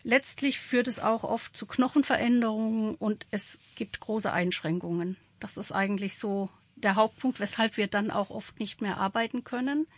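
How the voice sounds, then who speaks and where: A woman's voice: medium pitch, normal speed, hardly any pauses, no room sound. A woman in her sixties who leads a support group, explaining as a radio guest with frequent hesitations. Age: 60s. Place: radio studio.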